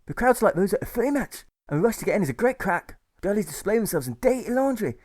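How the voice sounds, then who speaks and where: A man's voice: high voice, very animated, quick pace, darkish tone, voice pushed up. A man doing a chatty Irish young soldier. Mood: jovial.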